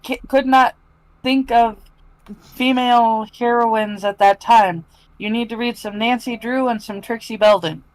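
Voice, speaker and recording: A woman's voice: on the high side, a little slow, no room sound, poor audio. A woman giving her opinions as a panelist in a casual group chat.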